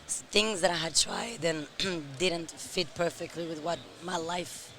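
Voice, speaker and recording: Hoarse middle-aged woman, guest in an interview, slow and measured. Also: fairly low pitch, fairly even intonation, a bit bright. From Europe. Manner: speaks slowly and measured. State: hoarse, tired voice. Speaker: interview guest, company founder. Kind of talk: casual interview.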